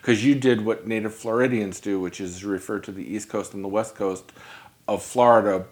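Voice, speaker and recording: A man's voice: lowish voice, steady tone, normal speed. A man from New York hosting a podcast, chatting casually with a guest and dropping joking asides. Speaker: podcast host. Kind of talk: conversational interview.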